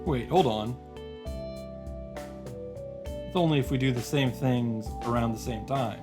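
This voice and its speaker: A man's voice: mid-range voice, a little slow. A man reading visual novel narration aloud as a streamer, with informal, casual reactions. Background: game music.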